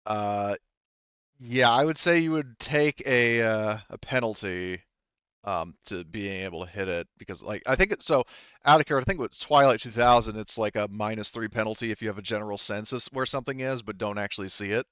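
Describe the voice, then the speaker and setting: A man running a tabletop roleplaying game as game master, explaining things in a halting way and often correcting himself. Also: fairly low pitch, a bit bright, smooth, dry recording, dull audio.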